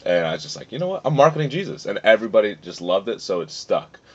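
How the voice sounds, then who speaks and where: A young man from Florida's Tampa Bay with a deep voice, chatting as a podcast guest in a laid-back way and laughing often. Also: very animated, fast talker, husky. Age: young adult. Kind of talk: casual interview chat. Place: outdoor covered porch at a farm nursery. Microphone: phone recording on the table. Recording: slightly dull lo-fi phone audio.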